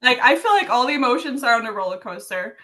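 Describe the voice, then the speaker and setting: A woman's voice: on the high side, animated, quick pace, bright, forceful tone. A young adult woman from Sweden, an author chatting casually in a podcast interview, giggling often and using many fillers. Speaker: author guest.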